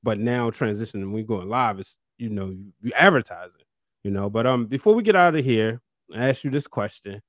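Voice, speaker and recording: A man's voice: on the low side, lively intonation, brisk, fairly bright tone, no echo, clean studio sound. An American man hosting a podcast in a casual, informal interview style. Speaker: podcast host.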